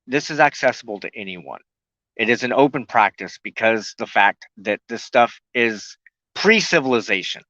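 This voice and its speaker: A man giving an earnest, unscripted spoken teaching as a broadcast host, reflective and halting, often pausing in the middle of a sentence. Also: fairly low pitch, expressive, sharp tone, no room sound, highs cut off.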